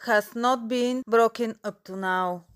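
A woman from Bulgaria with a slightly high voice reads her prepared talk. She speaks slowly and earnestly. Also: clean tone. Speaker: ESOL student. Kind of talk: reading a prepared short talk.

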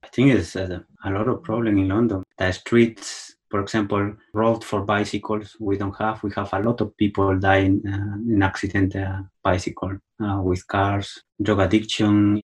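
Male student, low register, halting, filler-heavy class talk. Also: flat delivery. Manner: hesitant learner English with fillers. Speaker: ESOL student. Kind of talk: casual self-introduction and discussion.

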